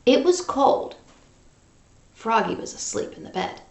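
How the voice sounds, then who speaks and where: A woman teacher talking directly to young children in an animated, conversational read-aloud lesson style. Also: on the high side, unhurried, creaky. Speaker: music teacher and storyteller for children. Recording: roomy, echoing home recording.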